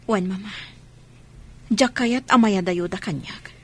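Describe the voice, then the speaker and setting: A woman playing a heartbroken, gentle young mother in an Ilocano audio drama, speaking in a sorrowful, pleading way. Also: medium pitch, takes it slowly, breathy edge. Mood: firm, hurt. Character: heartbroken, gentle young mother leaving her marital home.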